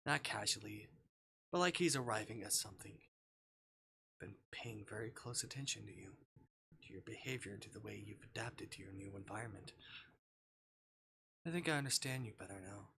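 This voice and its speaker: Male streamer, dramatic visual novel narration, bright sharp voice, occasional stumbles, slight background noise. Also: on the low side, gravelly. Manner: stumbles over words and rereads them. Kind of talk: dramatic read-aloud of visual novel text on livestream.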